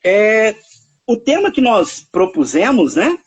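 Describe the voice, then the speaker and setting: A man from hosts a live stream in casual chat. His voice sits high and swings widely in pitch. It has a husky, dry sound, and he leans on tag questions. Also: takes it slowly, no echo. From Minas Gerais, Brazil. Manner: warm, chatty, animated, frequent tag questions.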